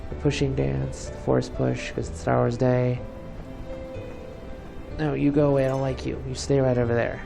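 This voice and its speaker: Gravelly, sarcastic man commenting on a game. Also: medium pitch, little pitch movement, normal speed, long pauses. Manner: sarcastic, grumbling. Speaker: video game let's player. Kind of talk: casual gameplay commentary. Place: voice-over over gameplay, off camera. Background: game music.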